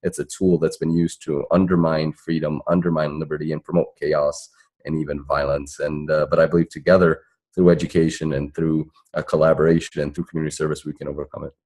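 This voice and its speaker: Deep-voiced man speaking on a panel. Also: little pitch movement, quick pace, darkish tone, breathy edge. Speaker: panelist, civil rights activist. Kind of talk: earnest panel discussion remarks.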